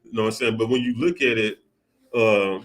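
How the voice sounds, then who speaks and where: A man hosting a casual podcast chat, talking in a relaxed, loose way with frequent restarts and filler phrases. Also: lowish voice, brisk. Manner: laid-back, many restarts and "you know what I'm saying". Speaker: podcast host.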